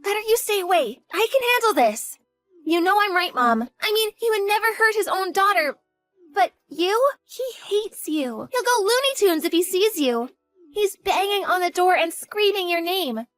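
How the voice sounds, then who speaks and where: A woman's voice: extremely high pitch, expressive, normal speed, a bit bright, dry recording, soft background music. A woman voicing a devoted college-age daughter who talks earnestly and grows panicked in a dramatized story. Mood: urgent.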